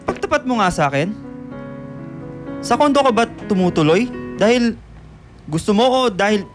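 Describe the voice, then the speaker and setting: High-pitched worried father. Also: animated, normal speed, soft background music. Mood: worried, scolding. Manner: reproachful, questioning. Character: worried, scolding middle-aged father. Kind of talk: radio drama dialogue.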